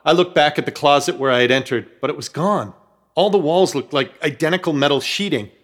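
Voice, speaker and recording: A man's voice: a little high, a little fast, fairly bright tone. A man narrating and starring in a horror comedy radio play, with theatrical, urgent storytelling. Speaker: narrator and protagonist.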